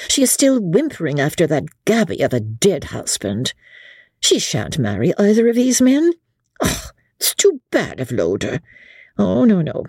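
A woman's voice: on the low side, wildly swooping pitch, unhurried, a little mellow, breathy edge, no echo, clean studio sound, voice pushed up, full character voice. A woman voicing a worldly, scheming young woman who scolds with contemptuous scorn.

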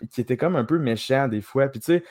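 A Québécois man chats as a podcast guest, hesitant and full of fillers as he works through his thoughts. His voice is mid-pitched. The sound is dry and close to the mic. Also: quick pace, a little mellow, dry recording. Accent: Québécois French. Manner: hesitant, many fillers and restarts. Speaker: guest, card dealer. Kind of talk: casual chat.

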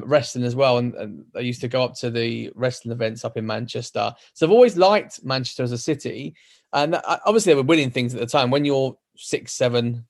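A man's voice: mid-range voice, vocal fry. A man in his thirties with a London accent, chatting casually on a football podcast and rambling through his answers. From London, England. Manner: relaxed, rambling, hesitant restarts. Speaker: podcast co-host. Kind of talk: casual chat.

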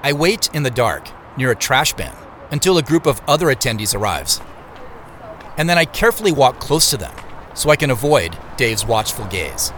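An actor playing a weary, wry man who narrates his own secret quest in an audio drama, in a measured way. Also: mid-range voice, big pitch swings, fairly bright tone, a little hiss or hum. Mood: measured, watchful. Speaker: narrator and lead character. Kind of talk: first-person audio drama narration and dialogue.

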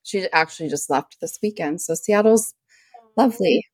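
A middle-aged woman co-hosting a podcast, talking in a relaxed, meandering way with lots of fillers. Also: on the low side, normal speed, breathy edge, no room sound. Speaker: podcast co-host. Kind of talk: casual chat.

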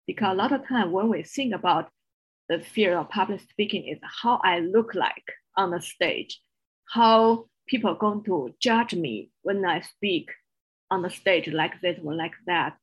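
A woman's voice: on the low side, unhurried, husky, highs cut off. Woman with a strong Chinese accent. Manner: earnest, explanatory, with non-native grammar. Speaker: guest, executive coach. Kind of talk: podcast interview.

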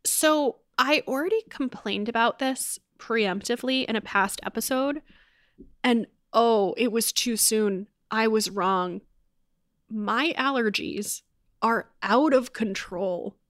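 A woman's voice: on the high side, slow, a bit bright, no room sound. Stuffed-up woman cohost just chatting, mid voice, dry close sound. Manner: chatty, curious, full of fillers. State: stuffy from allergies. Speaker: podcast co-host. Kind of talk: casual chat.

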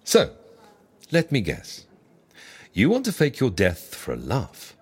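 A man playing an excitable, fussy proprietor of a secret agency in the ancient world, theatrical, flustered and courteous, in a comic audio drama. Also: medium pitch, takes it slowly, breathy edge, full character voice. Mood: curious.

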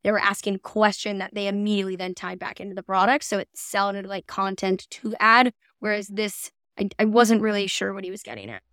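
A woman with a smooth, clear voice talks as a podcast co-host. She reviews things in a casual, conversational way and readily agrees with her partner. The sound is close and dry. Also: mid-range voice, brisk, few pauses, fairly bright tone, dry recording.

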